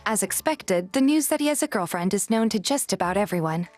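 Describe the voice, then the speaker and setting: A woman's voice: medium pitch, animated, fairly quick. A woman reading scripted first-person story narration, like an audio drama. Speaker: voice actor narrating and playing the heroine of a fan dub. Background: music.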